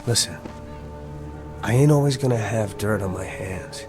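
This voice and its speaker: A man's voice: fairly low pitch, a little slow, close to an everyday voice. An actor playing a brash, resentful working-class young man with slangy, streetwise speech in a radio drama. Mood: bitter, defiant. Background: music.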